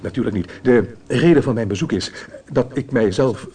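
An actor playing an earnest, fussy, law-abiding citizen in a radio comedy, stammering and insistent. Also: male voice, medium pitch, moderate pace, mellow, natural voice. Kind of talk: 1980s radio comedy acting. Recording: vintage radio play, noisy background.

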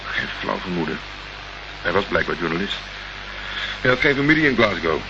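An actor playing a dry, sardonic private investigator in a Dutch radio play, deadpan and unruffled. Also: male voice, low-pitched, normal speed. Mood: matter-of-fact. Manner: sarcastic, deadpan. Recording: muffled, noisy old radio recording.

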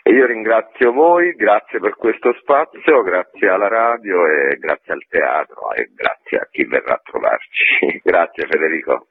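A man's voice: sits low, lively intonation, unhurried, creaky, few pauses. A man speaking informally as a guest in a radio interview, over a phone line. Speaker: theatre artist and festival guest.

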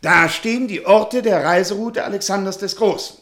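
An actor playing a naive, earnest, bookish gentleman who is easily flustered, in a German radio play. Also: high-pitched, moderate pace. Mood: pedantic, eager. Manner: pedantic, pleading, bewildered.

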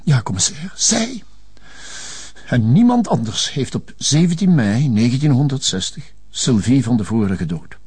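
An elderly Flemish notary in a television crime drama. He sounds husky and speaks in a halting, genteel way. He is resigned and lovelorn, given to French turns of phrase. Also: mid-range voice, a little mellow. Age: older. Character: resigned, lovelorn elderly male notary confessing old secrets.

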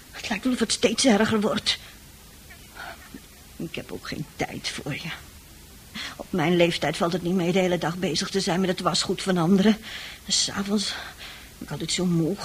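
Husky, weary, worried mother. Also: mid-range voice. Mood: pleading, weary. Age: middle-aged. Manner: hesitant, reproachful. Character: worried, weary, nagging mother of a teenage son. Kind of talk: radio play acting.

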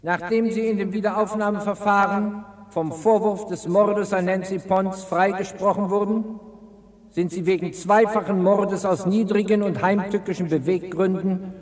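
An actor playing a stern prison governor at a hanging, formal and solemn, in 1960s radio drama style. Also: male voice, sits high, steady tone, normal speed, a little mellow, roomy sound, long reverb tail, natural voice. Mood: grave, formal. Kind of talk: 1960s German radio crime drama acting.

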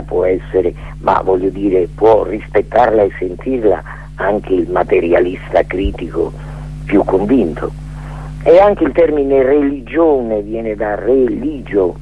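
A man's voice: deep voice, slight background noise. An older man speaking as a radio guest in a digressive philosophical monologue, hesitating as he searches for words. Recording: muffled, tinny phone-like radio line.

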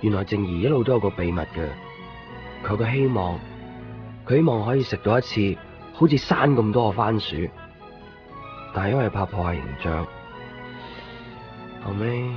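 A man playing a gentle, reflective dog owner who narrates his own story in a radio drama. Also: on the low side, expressive, moderate pace, long pauses, lightly acted. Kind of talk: radio drama dialogue and first-person narration. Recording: muffled lo-fi radio recording, music behind.

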